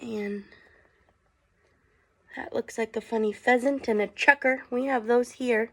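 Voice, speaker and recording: A woman's voice: a little high, steady tone, a bit bright. A woman teacher reading a picture book aloud to children, casually prompting them with questions as she goes. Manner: unhurried, inquisitive, prompting listeners with questions.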